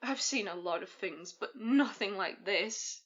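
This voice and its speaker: An actress playing an anguished, dutiful paramedic in an audio drama, speaking with urgent emotion. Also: female voice, mid-range voice, animated, unhurried, a bit bright, dull audio. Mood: shaken, grim. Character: anguished, dutiful woman paramedic taking charge in crisis.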